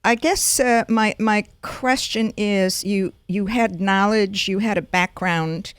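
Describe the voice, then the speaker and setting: A woman hosting a radio interview show who speaks deliberately and often repeats words as she frames her questions. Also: medium pitch, clear, resonant tone, no room sound.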